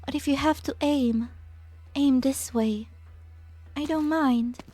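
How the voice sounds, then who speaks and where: A woman voicing a serene, unafraid scientist with a soft voice in a game fan dub. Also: sits high, slow. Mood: serene, gently warning.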